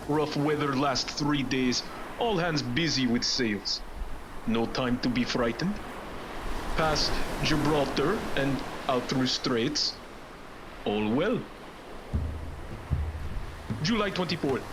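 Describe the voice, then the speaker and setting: A man acts as a grave ship's captain in a radio play, giving log entries slowly in clipped, solemn phrases. His voice sits at a middle pitch, with loud surf and ship noise around it. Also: lightly acted. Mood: solemn, terse. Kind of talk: radio drama acting, solemn terse log narration. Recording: noisy surf and ship ambience behind.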